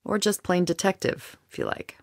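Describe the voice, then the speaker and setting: A woman's voice: on the low side, wildly swooping pitch, moderate pace, creaky, no echo, studio quality, exaggerated voice. An actress playing a stern, persistent female police detective in a video game, questioning with a firm, probing and dryly sarcastic manner. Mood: measured, coaxing. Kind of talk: dramatic video game dialogue.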